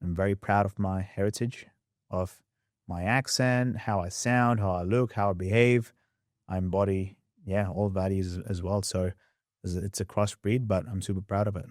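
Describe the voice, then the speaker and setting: A young man with a mixed Australian and English accent, a podcast guest telling his story in a candid, animated way. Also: low voice, no room sound, clean studio sound. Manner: animated, quick storyteller who acts out past scenes.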